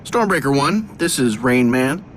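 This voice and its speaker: An actor playing a cocky, wisecracking armored superhero in a video game, glib, sardonic and self-assured. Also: male voice, medium pitch, lively intonation, unhurried, lightly acted. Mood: glib, confident. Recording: game music and engine noise behind.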